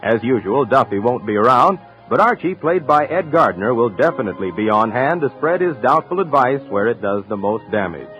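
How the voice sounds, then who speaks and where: A man's voice: mid-range voice, sing-song, a little fast, few pauses, fairly bright tone, faint music behind. A man announcing in scripted 1950s radio style, reading credits and programme promos. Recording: muffled 1950s radio recording.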